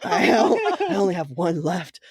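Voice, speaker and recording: A woman co-hosting a podcast in a casual, chatty way, excitable and giggly, often acting out her own reactions with plenty of "like". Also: on the low side, moderate pace, slightly rough, no echo, studio quality. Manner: informal, peppered with "like". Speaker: podcast co-host. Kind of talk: casual, chatty book discussion.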